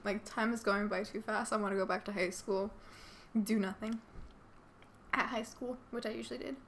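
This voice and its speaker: A woman's voice: mid-range voice, steady tone, normal speed, a bit bright, a little hiss or hum. A woman in her early twenties with a childlike-sounding voice, hosting a podcast and gossiping in a giggly way with lots of "like". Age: 20s. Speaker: podcast host. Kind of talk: casual chat.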